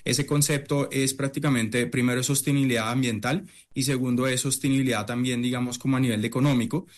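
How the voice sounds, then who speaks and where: A middle-aged man from Italy, a chef, answering interview questions in a halting way, full of fillers and restarts. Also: mid-range voice, fairly even intonation. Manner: hesitant, many fillers and restarts.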